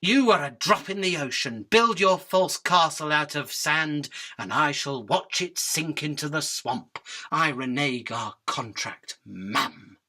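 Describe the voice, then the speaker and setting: A man's voice: a little high, expressive, slow pace, bright, forceful tone, exaggerated voice. A man playing a small, earnest fly in a radio drama, bargaining in a formal, urgent and polite way. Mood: defiant. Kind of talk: illustrated radio drama acting.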